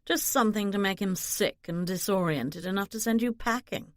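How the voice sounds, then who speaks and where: A woman voicing a scheming, hot-tempered man of high society in an audiobook, insistent and menacing. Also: normal speed, no echo, studio quality, lightly acted. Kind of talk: audiobook character dialogue.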